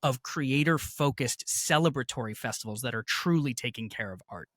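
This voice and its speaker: A man with a medium-pitched voice is being interviewed. He explains things at length in an emphatic, articulate but informal way. The sound is dry, with little room echo. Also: moderate pace, fairly bright tone. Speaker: interview guest, festival director and podcaster.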